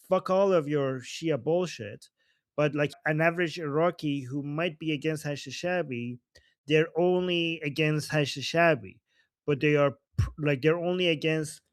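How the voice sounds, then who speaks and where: A man's voice: a little high, no echo. A man from Iran hosting a casual political discussion, joking and teasing, laughing often. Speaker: host.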